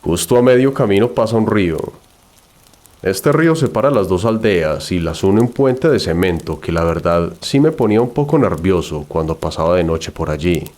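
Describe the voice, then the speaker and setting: A man's voice: sits low, animated, normal speed, a little mellow. A man narrating a scripted first-person horror story.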